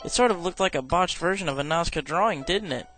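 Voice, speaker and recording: A polite, curious schoolboy played by a man in an anime fan dub. He speaks quickly in a high, lively voice, with faint music behind. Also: close to an everyday voice. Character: mild, curious, boyish teenage schoolboy classmate.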